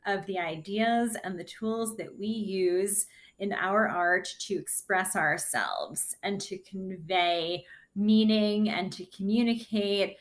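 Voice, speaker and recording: A woman teaching kindergarten children in a read-aloud lesson, explaining things simply and deliberately in short phrases. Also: medium pitch.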